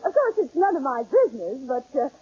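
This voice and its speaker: Meddling sardonic wife, vintage radio sitcom. Very high, theatrical voice on a muffled old recording. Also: female voice, big pitch swings, fairly quick, warm tone. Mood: arch, goading. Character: meddling, sardonic married woman, loyal best friend. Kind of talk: 1940s-50s radio sitcom acting.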